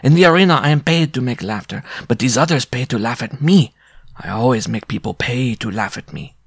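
A man reading a story aloud, voicing a tiny, proud and jealous circus dwarf in a shrill, pompous, impassioned voice. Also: brisk, vocal fry, breathy edge, dry recording, studio quality. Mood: menacing.

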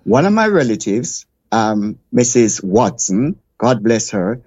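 An older man from Jamaica, a guest in an interview, recounting his childhood in a measured, reflective way. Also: mid-range voice, sing-song, darkish tone, slightly rough, no echo, dull audio. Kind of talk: interview storytelling.

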